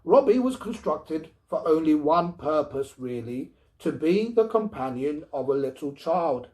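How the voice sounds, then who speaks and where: A man's voice: on the high side, sing-song, unhurried, mellow, poor audio. A man playing a stubborn, dismissive husband and father in a storybook reading, blustering and emphatic. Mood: insistent.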